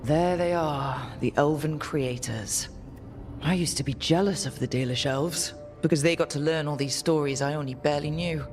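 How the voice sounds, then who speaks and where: A wry, slightly weary young leader from a fantasy video game, voiced by an actor. The voice sits at a middling pitch and sounds natural and grounded, with faint music underneath. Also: moderate pace, a little mellow, natural voice. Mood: reflective.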